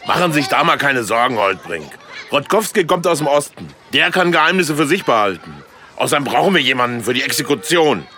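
A man's voice: medium pitch, sing-song, brisk, sharp tone. A man playing a brash, breezy boss running for office in a satirical radio play, blithely reassuring.

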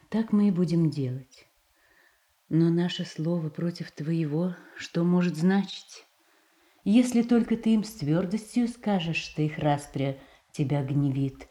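Female actor as calm royal wife in stage drama. Lowish, mellow voice, measured, deferential, quietly tactful. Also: close to an everyday voice. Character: devoted, composed, tactful tsaritsa advising her husband. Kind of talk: Russian stage tragedy as radio play.

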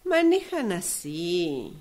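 A woman's voice: fairly low pitch, wildly swooping pitch, extremely slow, a little mellow, full character voice. An Argentine woman acting in a radio drama as a cocksure, insistent navigator in a car. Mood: breezy, insistent. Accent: Argentine, Rioplatense.

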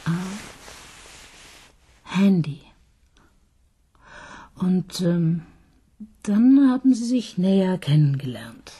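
A raspy skeptical detective. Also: female voice, on the low side, big pitch swings, very slow, long pauses, dark tone, full character voice. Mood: probing. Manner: questioning, skeptical. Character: probing woman detective, skeptical. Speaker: police detective.

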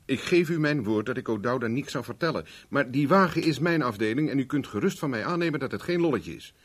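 An actor in a 1970s Dutch radio play, playing a cocky private investigator with a dry, teasing, self-mocking manner. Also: male voice, medium pitch, expressive, a little fast, breathy edge. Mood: firm, explaining. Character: wry, cocky male private investigator for hire.

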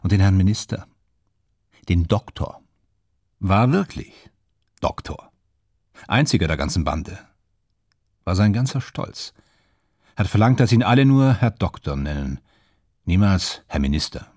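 Husky, low-voiced old man reminiscing. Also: expressive, darkish tone, no room sound, clean studio sound. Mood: wry reminiscing. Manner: halting, musing, short fragments, chuckling asides. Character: old German film insider wryly reminiscing about bygone days. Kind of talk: radio drama monologue, conversational reminiscing.

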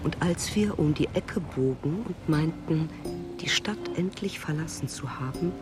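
A man's voice: a little high, a little slow, music in the background. A man reading scripted literary prose as a narrator. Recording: loud ambient vehicle noise behind.

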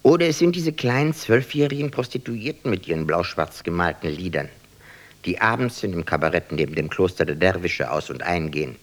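A man's voice: low-pitched, animated, brisk. A man reading scripted literary narration for a German radio play.

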